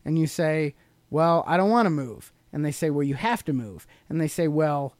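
A man's voice: fairly high pitch, moderate pace, a little mellow, no echo. A middle-aged man co-hosting a podcast, explaining things casually to a child in a teacherly way with leading questions. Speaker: podcast co-host and father explaining to his child.

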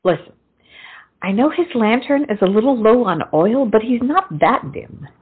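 Lady acting bawdy teasing best friend, raspy animated voice, comedy play, muffled phone sound. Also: on the high side, moderate pace. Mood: sly teasing. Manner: teasing, knowing. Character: Elizabeth, cheeky, bawdy young lady confidante.

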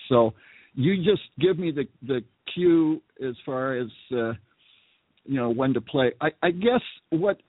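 A man hosting a talk show, chatting in a relaxed, hesitant way with lots of fillers. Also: medium pitch, dry recording. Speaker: host. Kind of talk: casual talk show chat. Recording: tinny phone-like line, dry.

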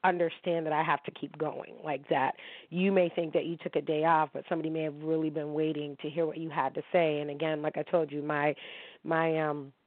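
A woman's voice: deep voice, little pitch movement, fairly quick, dry recording. A woman hosting her own internet radio show, talking candidly and emotionally to listeners while sounding drained. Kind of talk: casual monologue. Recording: dry, tinny phone-line sound.

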